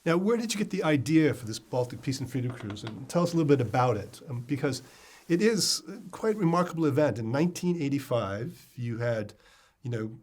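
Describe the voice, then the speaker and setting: An older man with an average-pitched voice acts as moderator of a discussion. He speaks conversationally, setting up questions for his guest in front of others. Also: expressive, a little fast, a little mellow, a little hiss or hum. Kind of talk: conversational interviewing before guests.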